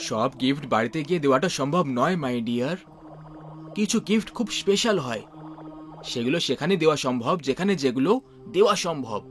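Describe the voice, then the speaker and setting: A man's voice: fairly high pitch, expressive, fairly quick, faint music behind, lightly acted. A man playing an affectionate, teasing young husband in a dramatized horror story.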